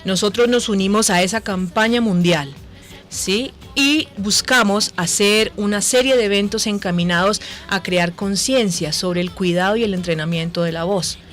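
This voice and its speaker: A woman's voice: medium pitch, moderate pace, fairly bright tone, soft background music. A Colombian woman giving explanatory answers as a voice-training expert in a radio interview. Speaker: voice center director, guest. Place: radio station booth.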